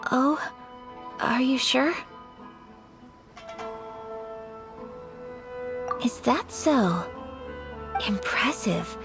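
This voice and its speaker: An actress playing a gracious young noblewoman in a video game, speaking formally and politely in measured phrases. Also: high-pitched, expressive, exaggerated voice. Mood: polite, curious. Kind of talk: scripted video game voice acting. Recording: game music behind the voice.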